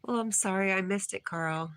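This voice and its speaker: A woman's voice: mid-range voice, moderate pace, a bit bright. A woman hosting a casual livestream, reading viewer comments aloud and replying in a chatty way. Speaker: livestream host.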